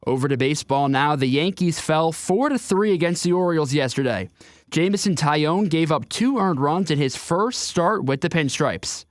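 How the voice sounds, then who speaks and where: An American man with a fairly high voice reads a scripted sports update. The sound is dry and close, like a radio studio, and the delivery is headline-driven. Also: expressive, moderate pace, no echo.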